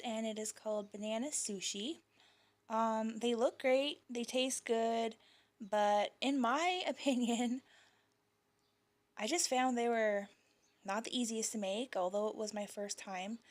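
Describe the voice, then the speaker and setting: A woman's voice: a little high, measured pace. Young mom chatting thru her kids' lunches, kinda losing her voice, um-ing and laughing a bit. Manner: chatty, laughs lightly, fillers like um and uh. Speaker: parent showing kids' lunches. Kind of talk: casual voice-over vlog.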